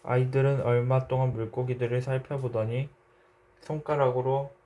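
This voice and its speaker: A man narrating a literary short story in a measured, reflective way. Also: mid-range voice, little pitch movement, warm tone.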